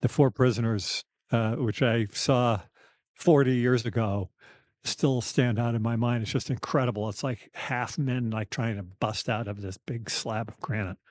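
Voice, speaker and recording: Soft-voiced mature man, mellow and halting. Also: medium pitch, fairly even intonation, dry recording, clean studio sound. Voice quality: soft, slightly weakened voice; slight lisp, thick-tongued articulation. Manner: halting, candid, wry. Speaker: podcast host. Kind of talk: reflective personal conversation.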